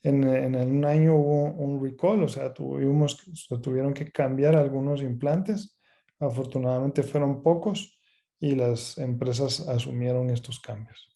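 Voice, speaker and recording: Very mellow-voiced male doctor lecturing. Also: medium pitch, steady tone, measured pace, vocal fry. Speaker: ENT specialist doctor presenting a webinar. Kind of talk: explanatory lecture.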